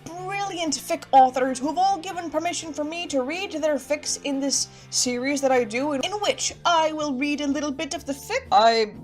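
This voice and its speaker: A woman with a high voice hosts an online video over clearly audible music. She chats straight to her viewers in a playful, animated style, leaning on her words with bubbly emphasis. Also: normal speed, few pauses. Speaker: video host. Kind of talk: bubbly YouTube chat to viewers. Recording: music behind the voice.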